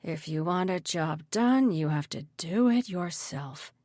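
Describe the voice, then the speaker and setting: A woman's voice: fairly low pitch, lively intonation, measured pace, darkish tone, no echo, clean studio sound. A woman voicing a ruthless, vengeful male mayor who barks and threatens in an audiobook story. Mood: menacing, bitter. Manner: barking, snapping, menacing.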